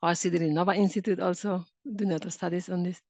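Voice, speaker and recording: A woman's voice: lowish voice, fairly even intonation, very fast, mellow, no room sound. A woman moderating an online workshop, prompting attendees tentatively to answer.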